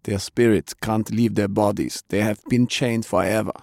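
A man's voice: fairly low pitch, fairly even intonation, moderate pace, gravelly, a little mellow, no room sound, clean studio sound. A man voicing a grave, battle-hardened man, in an audiobook. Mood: grim. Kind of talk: audiobook character acting.

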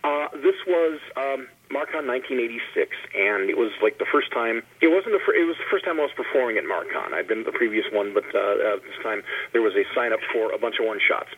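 A man's voice: lively intonation, fast, few pauses. A man speaking as a panelist in a casual roundtable, telling anecdotes in a rambling way and repeating words as he goes, over a phone line. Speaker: panelist, long-time filk musician. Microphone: telephone call. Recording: tinny phone line, husky low fidelity.

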